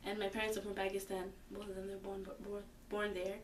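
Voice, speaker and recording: A fifteen-year-old girl answers questions in a casual, halting way, full of fillers, and turns firm when she makes her point. Her pitch stays flat and unvaried. She sits well away from the microphone in a roomy, reverberant space with a faint hum of ambience. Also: recorded from a distance. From born in the United States. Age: teens. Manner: hesitant, many fillers, grows emphatic. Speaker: high school student interviewee.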